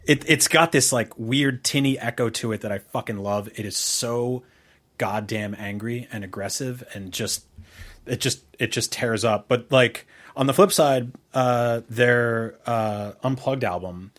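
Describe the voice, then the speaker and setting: A middle-aged man with a mid-pitched voice talks as a podcast co-host. His style is casual and rambling, with plenty of swearing. He sounds like someone in an informal chat, unscripted and loose. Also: a little slow, fairly bright tone. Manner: profane and informal.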